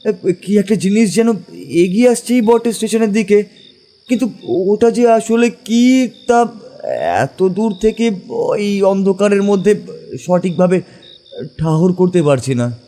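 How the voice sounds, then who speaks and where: An actor playing an excitable, curious traveller in a horror audio story, hesitant and repeating his words as he asks things. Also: sits high, unhurried. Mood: excited, uneasy. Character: excitable, curious male traveller friend, Bankim.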